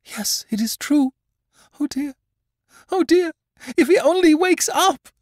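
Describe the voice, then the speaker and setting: A man voicing a terrified mother who speaks in a panicked, gasping, high-pitched way. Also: lively intonation, slow pace, dry recording, studio quality, exaggerated voice. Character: frantic terrified mother, woman.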